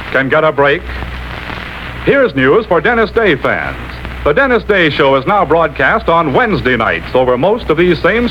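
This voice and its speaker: A man with a fairly high, very lively voice, announcing in the manner of 1940s American radio advertising. He sells with polished, carefully placed stress. The sound is a dull, noisy old broadcast.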